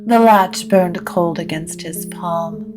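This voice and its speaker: A woman narrating scripted supernatural fiction in a measured, literary storytelling manner. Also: on the low side, over music.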